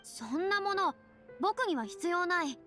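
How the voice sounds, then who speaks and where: A high-pitched, theatrical young stage star in an anime game. She speaks with lofty, self-assured, boyish phrasing over faint music. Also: expressive, a little slow, pushed and bright, full character voice. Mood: confident, gracious. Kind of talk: Japanese anime game voice acting.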